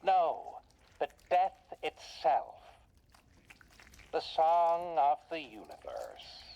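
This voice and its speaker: A woman playing a folksy, eerie radio host who narrates macabre horror tales with theatrical pauses in a video game. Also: deep voice, huge pitch swings, warm tone, highs cut off, a little hiss or hum, exaggerated voice. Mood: eerie, dramatic.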